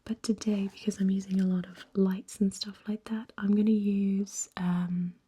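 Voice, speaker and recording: A young woman speaking very softly in a gentle, halting ASMR makeup chat, her whispery voice slightly croaky and hoarse after an illness. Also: mid-range voice, level tone. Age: young adult. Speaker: ASMR vlogger.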